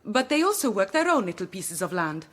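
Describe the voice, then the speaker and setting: A woman's voice: medium pitch, sing-song, brisk. An actress playing a proud, outspoken woman from Milan with an Italian accent and emphatic, slightly imperfect English in a radio drama. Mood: indignant. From Milan, Italy.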